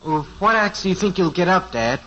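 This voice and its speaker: A man's voice: high voice, expressive, a little fast, bright, forceful tone, slight background noise. An actor playing an eager, scheming teenage son in a 1950s radio sitcom, earnest and breezy, fumbling his words. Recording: dull lo-fi old radio transcription.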